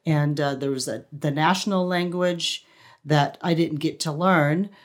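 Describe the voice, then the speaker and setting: A woman from the Philippines whose first language is Visayan, co-hosting a casual podcast chat in a soft-spoken, reflective way. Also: sits low, measured pace. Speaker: co-host, host's wife. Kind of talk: casual conversational interview.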